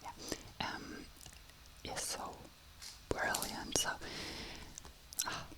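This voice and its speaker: Casting: ASMR book reviewer, soft breathy whisper throughout, unhurried and hesitant, feeling for words. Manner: halting, searching for words in a non-native language.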